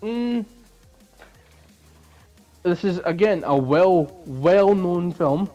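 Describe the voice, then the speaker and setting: A young adult man co-hosts a podcast in a casual, conversational style. His voice sits at an average pitch for a man, with faint music behind it. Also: animated, drawn-out words, dark tone, lo-fi sound. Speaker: podcast co-host. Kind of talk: casual chat.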